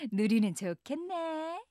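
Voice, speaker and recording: A woman voicing a cheerful, tidy mother of a young boy in a storybook cartoon, talking in a warm, amused, gently teasing way. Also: sits high, full character voice.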